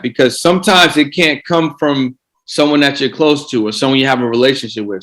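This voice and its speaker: A man's voice: fairly high pitch, fairly bright tone, rough recording. Man coaching, repeats himself. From Boston. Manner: repeats words while gathering thoughts. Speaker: guest coach. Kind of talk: casual podcast interview.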